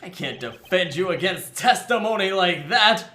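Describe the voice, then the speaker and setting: Low, animated, joking woman gamer. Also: moderate pace, slight background noise. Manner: playful, joking, sarcastic. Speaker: let's play host voicing the rookie lawyer. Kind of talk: comedic gameplay commentary and dubbing.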